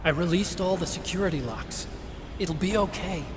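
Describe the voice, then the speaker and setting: An actor playing a gentle young scientist in a video game, speaking in an earnest, reflective, persuasive way. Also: male voice, high voice, moderate pace, natural voice. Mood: earnest, consoling. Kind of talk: dramatic video game acting. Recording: dramatic music behind the voice.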